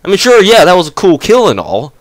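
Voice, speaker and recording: A man's voice: high voice, wildly swooping pitch, fast, dry recording. A young man giving casual, profane gameplay commentary, ranting and mocking while congested from a cold. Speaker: gamer commentator.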